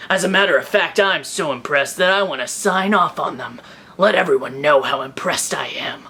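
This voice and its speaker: A woman voicing a male cosplayer who stays in a tough soldier character with dry, sarcastic deadpan. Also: low voice, normal speed, husky, pitched below the performer's own voice, heavily acted. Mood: mock awe.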